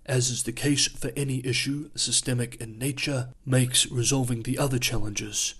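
Guy doing a news analysis voice-over, steady mid pitch, measured and explanatory, voice getting a bit hoarse. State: losing his voice. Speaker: news channel host.

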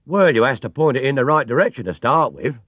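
A man's voice: medium pitch, big pitch swings, fast, husky, no room sound, dull audio, voice pushed up. A man voicing a friendly, chatty working-class London cab driver. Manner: chatty and matey.

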